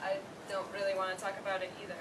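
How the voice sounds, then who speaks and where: A woman playing an energetic, caring daughter in her thirties in a staged play reading. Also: mid-range voice, very fast, lightly acted. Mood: reassuring. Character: energetic, ambitious thirty-four-year-old daughter, caring and busy. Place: theater stage, recorded from the audience. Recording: distant, noisy live theater recording.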